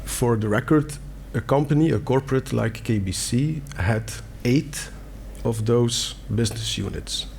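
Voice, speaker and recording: A man's voice: mid-range voice, a little slow, vocal fry, hardly any pauses, a little mellow. Belgian guy w dutch accent, middle aged, talking at a conference, earnest and plain, bit of hiss in the back. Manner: earnest, plain wording with fillers and restarts. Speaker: conference speaker. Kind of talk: conference talk. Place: conference hall.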